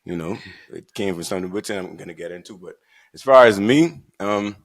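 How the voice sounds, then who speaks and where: A man from the Bahamas with a Bahamian accent, co-hosting a podcast and telling his story in a relaxed, laid-back way. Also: deep voice, a little fast. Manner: relaxed, laid-back storytelling with frequent "right" and "you know". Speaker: podcast co-host. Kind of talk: casual chat.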